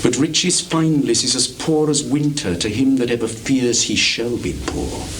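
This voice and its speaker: An actor playing a scheming, falsely humble soldier in a live Shakespeare reading, speaking deliberately with theatrical projection and dramatic pauses. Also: male voice, medium pitch, natural voice. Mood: insinuating. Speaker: stage actor. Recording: noisy, hissy 1980s live theatre recording.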